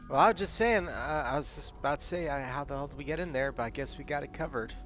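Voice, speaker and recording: A man playing a tabletop game, talking gruffly like a soldier. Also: fairly high pitch, fast talker, noisy background. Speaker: player.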